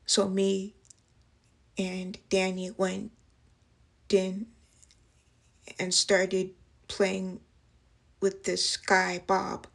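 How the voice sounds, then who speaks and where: A woman playing a traumatized young waitress in a dramatic monologue, speaking haltingly in broken phrases. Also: medium pitch, monotone, gravelly, a bit bright, heavily acted. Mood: uneasy, halting. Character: traumatized young working-class waitress recounting an assault. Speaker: actress performing a film monologue.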